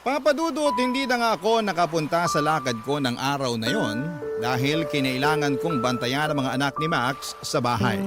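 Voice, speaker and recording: A man with a Filipino accent narrating a radio drama as a first-person story. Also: fairly high pitch.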